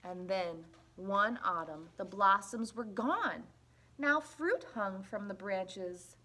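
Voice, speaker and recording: A woman reading a children's picture book aloud in an expressive, deliberate, playful storytelling manner. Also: medium pitch. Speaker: storybook reader at a library.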